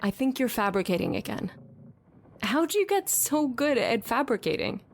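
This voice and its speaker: A woman's voice: a little high, very animated, normal speed. An actress plays a sceptical woman who keeps her guard up with an old lover. She is dry and blunt, sparring in a natural stage-drama delivery. A faint noise sits behind her voice. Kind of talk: conversational stage-play acting.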